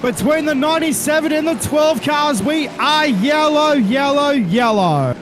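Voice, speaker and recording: Casting note: Australian male race commentator, high voice, engine noise heard under the mic. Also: lively intonation, a little slow. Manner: calls the action with driver and car details, says mate and reckon. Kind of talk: sim racing commentary. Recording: noisy broadcast mix with engine noise.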